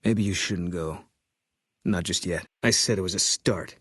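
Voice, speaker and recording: An actor voicing a grim, bitter former army sniper in a video game, guarded and grieving, with terse, flat, brooding delivery. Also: male voice, low voice, a little slow, slightly rough, no echo, studio quality, heavily acted. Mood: guarded, weary.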